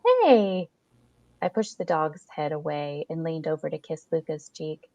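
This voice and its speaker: A woman's voice: low voice, level tone, normal speed, gravelly, darkish tone, no echo. A woman reading a first-person short story aloud as scripted narration, in a dull lo-fi recording.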